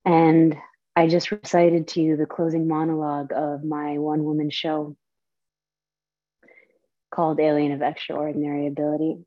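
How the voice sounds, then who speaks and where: A young woman from Saudi Arabia and Palestine, a storyteller and performer, speaking in an earnest, deliberate way, moving from a theatrical monologue into a personal talk. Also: low-pitched, level tone, dry recording. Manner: earnest, slow, deliberate delivery. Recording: dull, lo-fi audio.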